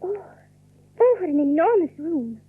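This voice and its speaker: A woman's voice: extremely high pitch, very animated, unhurried, no echo, full character voice. An actress playing a polite, eager, wide-eyed young country girl in a radio drama, earnest and deferential. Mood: wondering. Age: young adult. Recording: dry, muffled telephone-like old radio sound.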